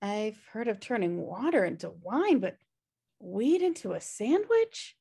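A woman's voice: medium pitch, very animated, a little slow, creaky, dark tone, husky, heavily acted. An American woman playing a lazy, flippant slacker sister in a comedy table read, with a casual, dismissive way of talking. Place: indoor room, video call.

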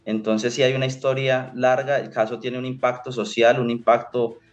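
A young man speaks in a formal, measured voice, choosing careful legal wording at an ordinary pitch. The audio is dull and lo-fi, like a video call from a small room. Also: flat delivery, faint music behind. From Colombia. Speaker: lawyer.

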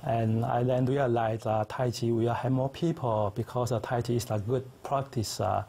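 A middle-aged man whose first language is Chinese, speaking as a guest in a TV studio interview with earnest, simple phrasing and thoughtful pauses. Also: medium pitch, steady tone, creaky, dark tone. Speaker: interview guest, tai chi teacher. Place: local TV studio set.

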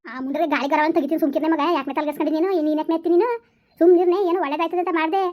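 A woman's voice: high-pitched, steady tone, racing, lo-fi sound, natural voice. A woman voicing a scheming rural mother who scolds and reassures her daughter while plotting revenge. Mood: soothing. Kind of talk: village family drama acting.

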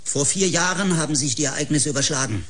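An actor playing a stern, defensive official in a sci-fi radio drama, brusque and insistent. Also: male voice, on the high side, fast talker, a bit bright, husky. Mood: defensive, urgent. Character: stern, defensive male official leading a crisis meeting.

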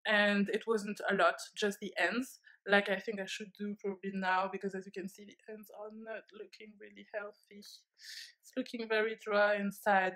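A woman's voice: mid-range voice, level tone, normal speed, fairly bright tone, rough recording. A young woman with a French accent, talking to camera from her living room in a candid, reflective way with frequent fillers. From France. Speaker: vlogger. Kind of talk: casual talk to camera. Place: living room, seated on a leather sofa.

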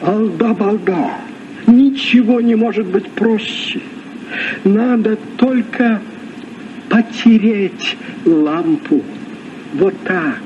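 High-voiced, florid Arabian sailor. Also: male voice, takes it slowly, darkish tone. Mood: grandly courteous. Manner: florid, ceremonious, incantatory. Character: flowery Arabian Nights sailor, courteous, grandiose storyteller. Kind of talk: vintage Soviet radio play acting. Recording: muffled, noisy old radio recording.

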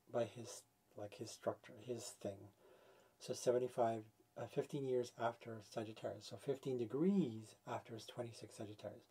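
A man in his forties talks to the camera from a small room with foam-paneled walls. His mellow voice has a husky edge, and he thinks out loud, stopping and restarting as he works through figures. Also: medium pitch. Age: 40s. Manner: thinks aloud, working out sums with many restarts. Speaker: astrology video host. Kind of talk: casual explanatory talk to camera.

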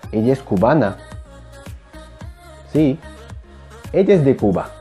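A young man teaching a language lesson to camera in an emphatic, animated manner, repeating phrases. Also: medium pitch, slow, faint music behind. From Peru. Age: young adult. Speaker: Spanish teacher. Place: small indoor room, plain wall. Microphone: clip-on lapel mic.

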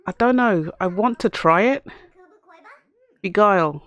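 A woman with a hoarse voice from a cough, chatting casually as she plays a life-sim game and talks to her characters. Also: medium pitch, expressive, unhurried, highs cut off. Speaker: let's play host. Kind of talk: casual gameplay commentary.

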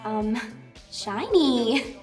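A woman's voice: fairly high pitch, expressive, extremely slow, darkish tone, long reverb tail, soft background music. A woman playing a brainy, nervous, sardonic teenage girl inventor in a radio play, wordy and wry. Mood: awkward. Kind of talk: radio play monologue and dialogue.

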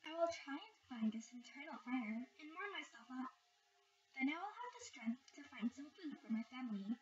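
A woman's voice: high-pitched, animated, moderate pace. A woman voicing a poor, honest, humble man in a storybook fairy tale, earnest and polite. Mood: determined. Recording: distant, muffled home recording with faint noise.

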